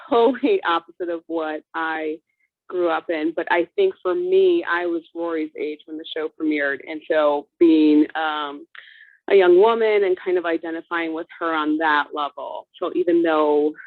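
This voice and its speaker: A woman in her thirties from Chicago, speaking reflectively on a video call, pausing often with ums. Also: low-pitched, no echo. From Chicago, USA. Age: 30s. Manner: reflective, halting with frequent ums. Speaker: viewer joining a watch-along chat. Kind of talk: casual chat. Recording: tinny phone-like dry line.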